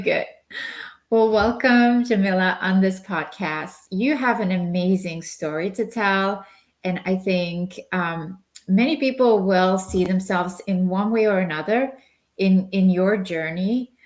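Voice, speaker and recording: A woman's voice: mid-range voice, measured pace. A woman hosting a podcast interview, friendly and encouraging toward her guest. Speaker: podcast host. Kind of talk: conversational interview.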